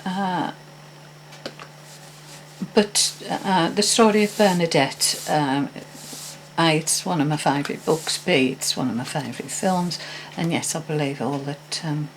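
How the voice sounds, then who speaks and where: A woman's voice: low-pitched, a little slow, slight background noise, low-quality recording. An older woman telling a personal story in a casual, hesitant, meandering way with frequent restarts. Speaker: vlogger.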